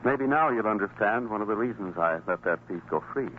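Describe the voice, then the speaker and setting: A man's voice: fairly low pitch, lively intonation, brisk, close to an everyday voice. A man acting a hesitant, earnest middle-aged father in an old-time radio drama. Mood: relieved, reflective. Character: troubled father with a gambling weakness, excitable yet merciful. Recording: tinny, noisy old radio recording.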